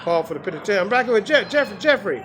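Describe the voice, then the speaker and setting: A man's voice: high-pitched, big pitch swings, fast, over music. A man in his sixties hosting a casual street interview, talking in a repetitive, preachy way about recovery and faith. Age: 60s. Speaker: vlog host. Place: outdoors in a city.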